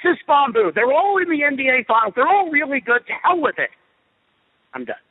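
A man's voice: very high-pitched, big pitch swings, brisk. A man giving blunt, sarcastic opinions as a radio guest on a phone call. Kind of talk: opinionated sports talk. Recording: tinny phone line with long reverb.

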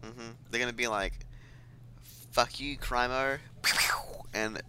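A man co-hosting a casual podcast, telling deadpan ironic jokes. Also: mid-range voice, slow pace, slight background noise. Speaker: podcast co-host. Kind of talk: casual chat.

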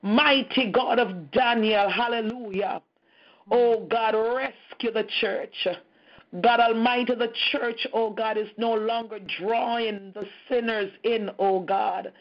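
A woman's voice: a little high, fairly even intonation, takes it slowly. Impassioned woman praying over a call. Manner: impassioned, repeats "oh God" between phrases. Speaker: prayer leader. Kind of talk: fervent intercessory prayer. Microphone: phone or conference call. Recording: muffled lo-fi call audio.

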